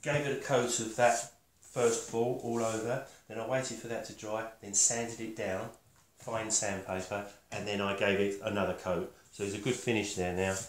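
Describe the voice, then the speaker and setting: Older male woodworker, fairly low-pitched, unpolished and matter-of-fact, explaining his work aloud in a reverberant room. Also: flat delivery. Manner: halting, matter-of-fact, thinking aloud while working. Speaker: woodworker demonstrating his build. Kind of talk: casual step-by-step demonstration. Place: concrete-block workshop and house room. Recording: echoey room, faint noise, handling clicks.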